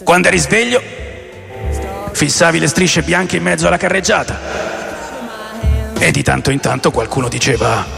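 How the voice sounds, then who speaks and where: A man playing a rock musician recalling wild tours in a radio drama monologue, conversational and coarse, with dramatic pauses. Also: fairly high pitch, creaky. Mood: nostalgic, wry. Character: adult male rock musician recalling wild touring days. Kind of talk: radio drama monologue, first-person storytelling. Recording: long reverb, music under voice.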